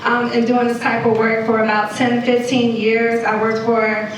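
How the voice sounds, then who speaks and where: High, even-toned woman in echoey hall. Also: moderate pace, distant mic, a little hiss or hum. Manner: steady, explanatory, frequent ums. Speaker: community health manager, panelist. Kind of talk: panel discussion. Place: conference hall.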